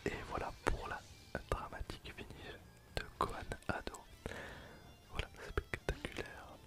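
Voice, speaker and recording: A person whispering softly in a casual, hesitant way while commenting on their own gameplay for ASMR. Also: a little slow. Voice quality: soft unvoiced whisper. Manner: casual, hesitant, thinking aloud while playing. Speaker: ASMR gaming video creator.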